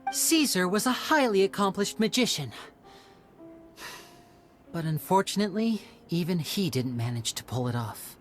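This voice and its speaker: An actor voicing a young male stage magician in a video game, smooth, theatrical and explanatory. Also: high voice, very animated, normal speed, long pauses. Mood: explaining. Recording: game audio with soft music.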